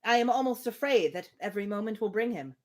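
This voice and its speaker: A woman reading the part of a dejected, effusive young gentleman from a novel, in earnest, formal, lamenting speech. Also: medium pitch, expressive, fast talker, lightly acted. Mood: dejected, regretful.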